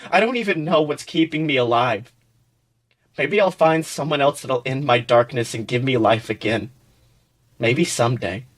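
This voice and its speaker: A man's voice: mid-range voice, moderate pace, close to an everyday voice. A man voicing a gloomy, grumpy ogre who tells his sorrows in a melodramatic, dismissive way. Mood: hopeless.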